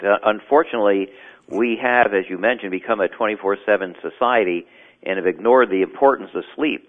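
A male doctor answering interview questions on the phone in a measured, explanatory way. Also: lowish voice. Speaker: doctor, guest. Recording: phone line.

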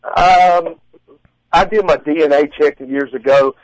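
A man in his forties speaking as an interview guest, telling stories casually in halting, folksy phrasing. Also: fairly high pitch, no room sound, low-quality recording. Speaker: guest, strongman competitor and contest judge. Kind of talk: casual interview answers and storytelling.

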